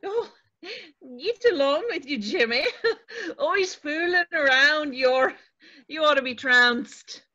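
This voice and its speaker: A crotchety middle-aged landlady played by a woman from a stage play, high and piercing. She scolds in folksy, old-fashioned dialect, menacing and coy by turns. The sound is muffled, as over a video call. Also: animated, takes it slowly, bright, forceful tone, full character voice. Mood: playfully chiding. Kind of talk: stage play table read. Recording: dull video-call audio.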